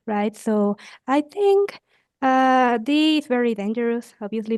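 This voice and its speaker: A woman's voice: a little high, a little mellow, clean tone, no room sound. Young Mexican woman, dry close sound. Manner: chatty, with hesitations. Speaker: student. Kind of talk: exam conversation.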